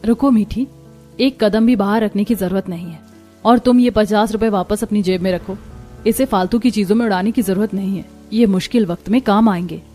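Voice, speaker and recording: A woman voicing a practical, caring young mother in a cartoon story, gently firm. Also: mid-range voice, fairly quick, soft background music, lightly acted. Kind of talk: Hindi cartoon story acting.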